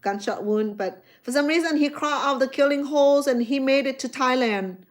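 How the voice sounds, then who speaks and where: A woman's voice: high-pitched, brisk. A middle-aged woman with a Cambodian accent, a chef chatting casually with viewers on a live stream, restarting her sentences often and rambling from one thought to the next. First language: Khmer.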